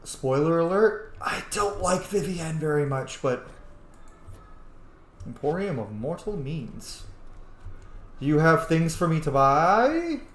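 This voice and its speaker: A man's voice: fairly high pitch, big pitch swings, slow, long pauses, fairly bright tone, echoey room. A man giving casual, profane and joking commentary while playing a video game. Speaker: game streamer. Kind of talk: let's play commentary.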